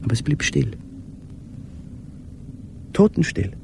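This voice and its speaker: An actor playing a tormented, lonely man who confesses haltingly in an intimate radio play monologue, often sinking toward a whisper. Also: mid-range voice, very animated, creaky, noisy background, heavily acted. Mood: anguished, brooding.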